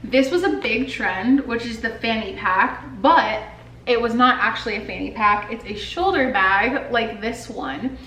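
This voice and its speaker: A woman's voice: on the high side, a little slow, a bit bright. Chatty young woman in an echoey room. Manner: chatty, upbeat, lots of "like" fillers. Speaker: lifestyle vlogger and artist. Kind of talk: casual show-and-tell. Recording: echoey room with faint hiss.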